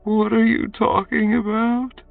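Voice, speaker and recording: Man voicing a high, halting, frightened beggar woman. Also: flat delivery, creaky, no echo, recorded from a distance, phone-quality sound, soft background music. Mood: confused, nervous. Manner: halting, stammering. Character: frightened young beggar woman, timid, pleading wife.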